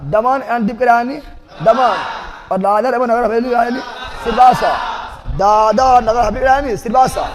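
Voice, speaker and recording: High-pitched Bihari man teaching, very echoey. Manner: emphatic, repeats key facts loudly, checks with the class. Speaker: exam coaching teacher. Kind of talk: live general-knowledge classroom drilling with repetition and question-and-answer. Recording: echoey hall with long reverb.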